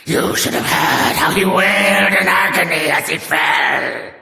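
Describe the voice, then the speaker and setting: A man's voice: fairly high pitch, lively intonation, measured pace, gravelly, sharp tone, shouting, heavily acted. A man voicing a sadistic monster henchman in a video game, theatrical and mocking, with a drawling mock politeness. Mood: gloating, mocking. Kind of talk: scripted video game villain dialogue. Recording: strong roomy echo.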